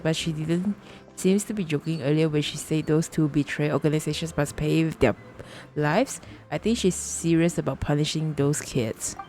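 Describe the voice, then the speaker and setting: A woman's voice: sits low, darkish tone. A woman livestreamer casually commenting on a game and reading its dialogue aloud. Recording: faint game music behind the voice.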